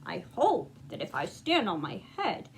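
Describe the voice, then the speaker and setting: A woman voicing an earnest, worried male toad from a children's storybook, with a deliberate, fretful manner. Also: medium pitch, huge pitch swings, gravelly, a little hiss or hum, pitched below the performer's own voice, full character voice, rough recording. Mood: determined.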